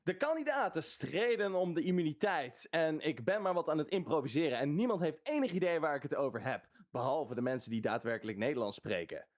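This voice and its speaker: A man's voice: on the high side, lively intonation, fast, hardly any pauses, voice pushed up, close to an everyday voice. A voice actor playing his cartoon character Trevor in Dutch, with a playful, improvised delivery.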